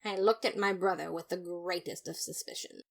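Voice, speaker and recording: A woman's voice: fairly low pitch, fairly even intonation, a little fast, creaky. A woman reading first-person fan fiction as a dramatized, emphatic narration. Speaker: podfic reader.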